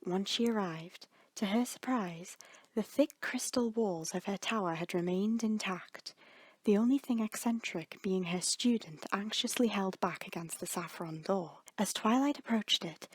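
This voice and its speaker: A woman storyteller reading scripted fiction dramatically, with suspenseful, breathy phrase endings. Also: medium pitch, no room sound.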